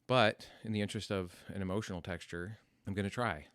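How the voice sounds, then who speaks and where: Man in his forties, American accent, extremely low pitch, introspective podcast storytelling, halting phrasing, dry close sound. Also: fairly even intonation, a bit bright, no echo. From United States. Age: 40s. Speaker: podcast host telling their life story. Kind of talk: reflective, unscripted personal storytelling.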